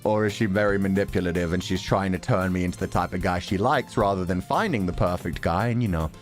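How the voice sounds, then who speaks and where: A man's voice: low-pitched, quick pace, faint music behind. A man giving casual, slangy, joking live commentary while streaming a game.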